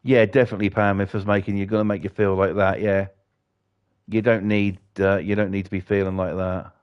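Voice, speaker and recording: A middle-aged British man chatting and ranting with lots of swearing while he plays a game and talks to viewers, his voice a bit croaky. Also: fairly low pitch, level tone, a little fast, highs cut off. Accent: British English. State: slightly croaky. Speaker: gaming livestreamer. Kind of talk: casual chat while playing a game.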